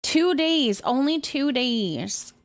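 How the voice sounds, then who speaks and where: A woman hosting a casual livestream, chatting with viewers in a gentle, affectionate way and often repeating her phrases softly. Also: high voice, expressive, slow. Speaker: livestream host. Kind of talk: casual livestream chat, thanking viewers and reading their messages aloud.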